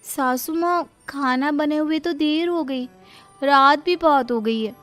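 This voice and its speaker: A woman's voice: high-pitched, takes it slowly, a bit bright, soft background music, voice pushed up. A woman playing a defiant, outspoken, modern young city bride in an audio story, questioning everything indignantly.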